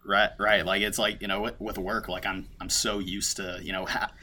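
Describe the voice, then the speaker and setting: Easygoing young man, low bright voice. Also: normal speed. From United States. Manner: relaxed, rambling with many fillers and restarts. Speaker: podcast guest. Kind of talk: casual conversation.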